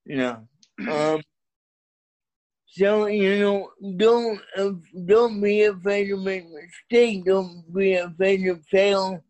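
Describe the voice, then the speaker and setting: A man's voice: sits high, fairly even intonation, slow pace, a little mellow, poor audio. A man speaking as a podcast guest in casual chat, hesitant and full of fillers.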